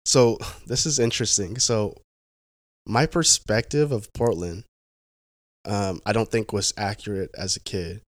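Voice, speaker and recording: A young man speaks in a low voice, easygoing but halting, restarting phrases and leaning on fillers as he tells his story. The sound is dry and close, with no room behind him. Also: dry recording. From Pacific Northwest, United States. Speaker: guest. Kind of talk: casual conversational interview.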